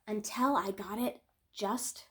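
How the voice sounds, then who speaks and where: A woman teacher reading a children's picture book aloud in an expressive, deliberate storytelling manner with dramatic pauses. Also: medium pitch.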